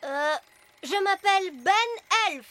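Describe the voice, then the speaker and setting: Earnest, high-voiced little elf boy. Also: slow, fairly bright tone, exaggerated voice. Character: sensible, polite young elf boy. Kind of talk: French-dubbed children's cartoon voice acting.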